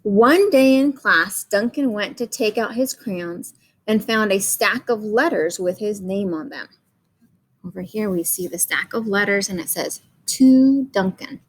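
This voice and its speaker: A woman's voice: medium pitch, unhurried, rough recording. A woman reading a picture book aloud to children, pointing things out and asking questions as she goes. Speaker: children's story reader.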